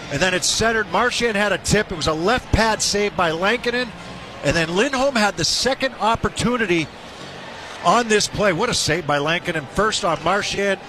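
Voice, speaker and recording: High-voiced male hockey radio analyst.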